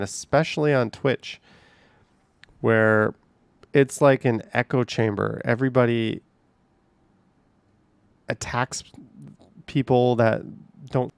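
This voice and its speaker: A man talks casually to his chat from home, rambling and laughing easily. His voice has a gravelly edge, and the sound is dry and close with no room echo. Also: mid-range voice. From Canada. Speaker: livestream host reading chat.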